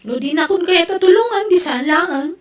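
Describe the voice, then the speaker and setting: A woman's voice: extremely high pitch, lively intonation, moderate pace. A woman with an Ilocano accent playing a proud, determined young student in an audio drama. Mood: stubborn, defiant. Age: young adult.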